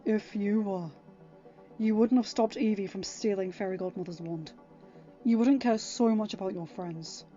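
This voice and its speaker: A woman voicing an earnest, protective young king, speaking gently but emphatically to reassure someone in a story. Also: medium pitch, normal speed, highs cut off, soft background music, close to an everyday voice. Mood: firm, reassuring.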